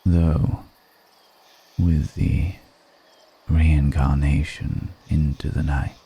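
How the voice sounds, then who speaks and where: A man playing a brooding, melancholic undead vampire in an intimate ASMR roleplay, speaking in a measured, poetic murmur with pauses between phrases. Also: level tone, a little hiss or hum, exaggerated voice. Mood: solemn, melancholic. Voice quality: very deep, dark, creaky murmur.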